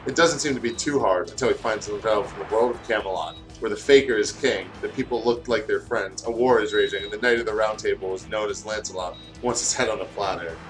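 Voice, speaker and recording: A man's voice: fairly low pitch, fast talker, hardly any pauses, soft background music, poor audio. A man in casual, giggly chat, reading aloud over a video game. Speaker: guest co-host reading fan fiction.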